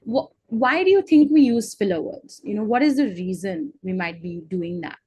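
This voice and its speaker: A woman's voice: mid-range voice, lively intonation, moderate pace, a little mellow, slightly rough, no room sound. A woman tutoring over a video call. Her voice sounds close and dry, with no room around it. She gives encouraging feedback and fills her talk with "you know" and "um". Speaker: English tutor. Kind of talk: conversational teaching with feedback.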